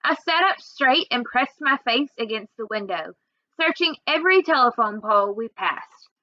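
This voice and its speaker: A woman reading a children's novel aloud in an expressive storytelling way. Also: on the high side, normal speed, no echo, highs cut off. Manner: expressive, acts out dialogue. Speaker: reader.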